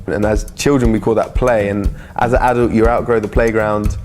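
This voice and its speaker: Low-voiced young English man. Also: flat delivery, moderate pace, darkish tone, faint music behind. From England. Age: 20s. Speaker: professional free runner. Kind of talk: casual documentary interview.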